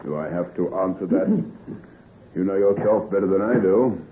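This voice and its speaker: A man acting as a loyal friend who is concerned and exasperated. His fairly low voice is brisk and blunt, remonstrating in old-time radio manner. The recording is narrow and muffled, like a vintage broadcast. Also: close to an everyday voice.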